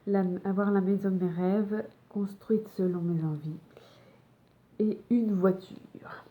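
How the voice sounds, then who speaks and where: A woman's voice: mid-range voice, steady tone, slow, phone-quality sound. A young Frenchwoman with a French accent, talking casually to camera in a hesitant way, with many fillers and restarts. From France. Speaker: vlogger. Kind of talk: personal casual talk to camera. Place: home in Montreal.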